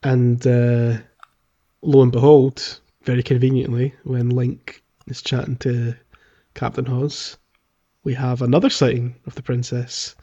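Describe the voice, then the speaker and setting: A man's voice: mid-range voice, level tone, measured pace, warm tone. A man co-hosting a gaming podcast in casual, conversational talk. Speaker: podcast host. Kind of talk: casual game discussion podcast. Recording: slightly dull, lo-fi voice track.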